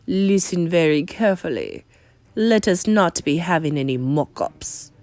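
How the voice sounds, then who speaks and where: A woman's voice: lowish voice, animated, a little mellow, dry recording, faint music behind. A woman voicing a tyrannical, venomous witch in a children's audiobook, screeching and thundering her commands. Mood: gloating command. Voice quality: screeching, harsh. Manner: thunderous, drawn-out, commanding, broken foreign-style grammar. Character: tyrannical, venomous Grand High Witch, female villain.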